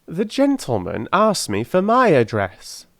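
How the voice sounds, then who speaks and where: High-pitched, garrulous old London crone. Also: male voice, huge pitch swings, a little slow, fairly bright tone, dry recording, clean studio sound, exaggerated voice. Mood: guarded, garrulous. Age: older. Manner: mumbling, rambling, effusively grateful. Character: mumbling old working-class London crone, garrulous, wheedling.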